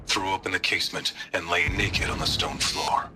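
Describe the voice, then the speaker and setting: A solemn grieving warrior. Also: male voice, low-pitched, fairly even intonation, normal speed, pushed and bright, a little hiss or hum. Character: grieving stern warrior widower recording a memory. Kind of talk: game voice acting, recorded monologue.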